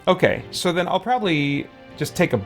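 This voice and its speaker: A man's voice: sits high, expressive, fairly quick. A man giving casual let's play commentary as a streamer, rambling as he thinks aloud. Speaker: game streamer. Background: game music.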